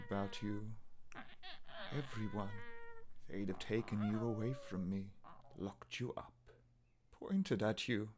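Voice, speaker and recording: An actor playing an anguished, obsessive husband in a melodramatic horror radio play, theatrical and pleading between murmurs and cries. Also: male voice, fairly low pitch, darkish tone, a little hiss or hum. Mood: grim devotion. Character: anguished, obsessive husband devoted to his cursed wife.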